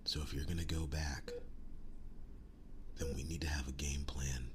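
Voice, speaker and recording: A man playing a protective, world-weary young werewolf boyfriend in an intimate ASMR roleplay, speaking in a breathy, halting hush. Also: fairly even intonation, noisy background. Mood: determined, grave. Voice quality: breathy, hushed, very low voice. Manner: halting, slow, soft-spoken with long pauses.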